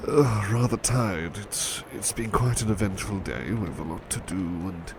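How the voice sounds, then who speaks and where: A man playing a gentle, devoted butler in an intimate ASMR roleplay, speaking politely and tenderly with a hesitant stammer. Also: lowish voice, unhurried, noisy background. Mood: weary, flustered. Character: gentle, devoted, flustered male butler, tired and affectionate.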